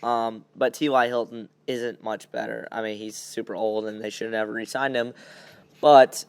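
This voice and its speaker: A man's voice: medium pitch, flat delivery, moderate pace, clean tone, dry recording. A young man hosting a podcast, chatting casually and giving blunt opinions on sports. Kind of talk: casual sports chat, game predictions.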